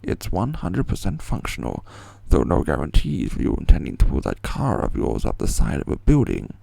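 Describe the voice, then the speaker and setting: A man's voice: sits low, huge pitch swings, moderate pace, gravelly, mellow, husky, no room sound, full character voice. A man playing a courteous, dryly witty tech aide in a video game fan dub. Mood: courteous, wry. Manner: polite, wry.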